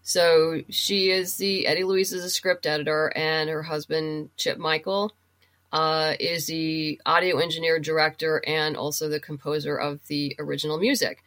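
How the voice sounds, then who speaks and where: A woman's voice: low-pitched, little pitch movement, moderate pace, fairly bright tone. A middle-aged woman chatting and explaining things casually as a guest in an interview. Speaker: podcast guest, writer and producer.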